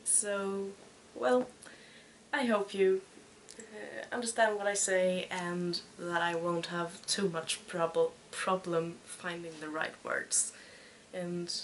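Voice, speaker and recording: Slow, hesitant Swedish woman in her twenties. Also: lowish voice, steady tone, slight background noise, low-quality recording. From Sweden. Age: 20s. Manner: hesitant, searching for words. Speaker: podcast host. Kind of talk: casual chat.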